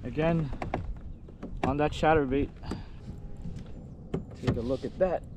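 A man's voice: a little high, slow. A man narrating his kayak fishing to camera in casual, short, colloquial remarks. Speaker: fishing vlog host. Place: outdoors in a kayak on a lake. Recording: noisy outdoor audio with water sounds. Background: water and kayak noise.

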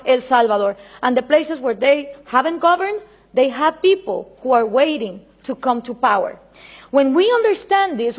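A woman in her thirties from Central America, speaking English with a Central American Spanish accent, giving an emphatic political speech at a panel into a table microphone in a large conference hall. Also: high-pitched. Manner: emphatic and insistent, gesturing a lot. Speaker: invited speaker.

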